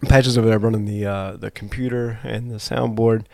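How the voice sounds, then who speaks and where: Male podcast host, gravelly and slightly stuffy, casual and rambling, dry close mic. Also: lowish voice, level tone, a little fast, dark tone, no echo. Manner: loose, laughing, rambling. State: congested from allergies. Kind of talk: casual chat.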